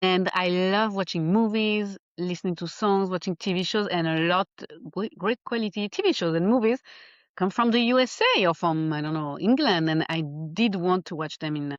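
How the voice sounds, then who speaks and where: A woman from Geneva with a Swiss French accent, a life coach chatting in an interview and often pausing to find her words. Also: lowish voice, dry recording, muffled recording. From Geneva, Switzerland. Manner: animated, laughs often, searches for words. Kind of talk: casual interview chat.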